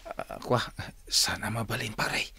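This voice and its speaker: An actor with an Ilocano accent playing a meek, stammering, slow-witted henpecked husband in a radio comedy drama. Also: male voice, lowish voice, fairly even intonation, pushed and bright, husky, full character voice. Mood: hesitant, meek. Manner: stammering, dim, startled "huh?" replies.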